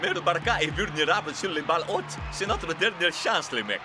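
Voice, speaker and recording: A man's voice: a little high, lively intonation, a little fast, music in the background, lightly acted. A man playing an earnest football captain rallying his team in a cartoon dub.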